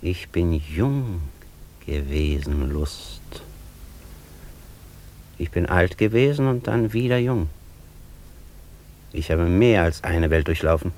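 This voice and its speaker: Tiefer, getragen sprechender Dichtermeister. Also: male voice, sits low, expressive, darkish tone. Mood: weary, grave. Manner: measured, rhapsodic, solemn, with weighty pauses. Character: exalted male master poet-thinker, musing, solemn, imperious. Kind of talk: German radio drama acting, literary declamation.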